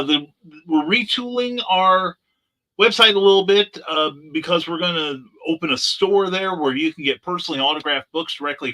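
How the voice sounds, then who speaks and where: A man's voice: on the high side, pushed and bright, low-quality recording. An older man speaking as an author guest on a panel, courteous and polished, with slightly old-fashioned wording in casual promotional talk.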